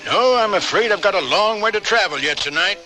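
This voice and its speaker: A crooked, menacing lawman in a radio western. His very bright, forceful voice moves in lively swings, with authority, on a slightly noisy old recording. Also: high-pitched, brisk, soft background music. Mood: smooth authority. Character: crooked, coolly menacing male federal marshal.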